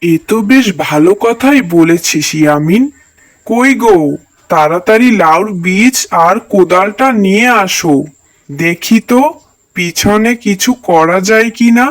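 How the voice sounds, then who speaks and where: A man's voice: high voice, expressive, a little slow. A man voicing a poor, devout village farmer and father in a moral cartoon, earnest and plaintive. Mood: relieved, eager. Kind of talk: cartoon voice acting, moral tale.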